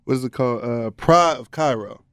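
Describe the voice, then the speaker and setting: Slangy man radio DJ, medium pitch. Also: moderate pace, background noise. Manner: informal, slangy, joking with guests. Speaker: radio DJ and co-host. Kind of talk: casual radio interview chat.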